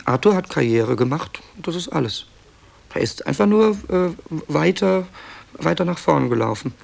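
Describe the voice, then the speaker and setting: A man's voice: fairly high pitch, lively intonation, normal speed, gravelly, a little mellow, full character voice. A man playing a reflective author in a 1960s radio play, thinking aloud in a hesitant, dryly ironic way as he narrates. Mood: musing, dry. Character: reflective male radio author presenting his taped documents. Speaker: narrator and interviewer.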